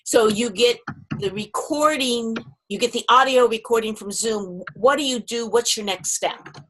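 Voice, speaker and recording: Easygoing woman host, slightly high voice, moderating questions. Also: a little slow, slight background noise, low-quality recording. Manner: relaxed, asks questions for the group. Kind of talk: casual moderating.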